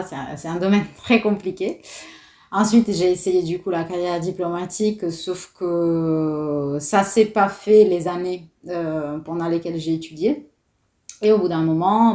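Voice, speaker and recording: A woman in her thirties from Italy with an Italian accent, a doula guest talking casually in an interview, often pausing with fillers. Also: lowish voice, dark tone, reverberant. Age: 30s. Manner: hesitant, many fillers.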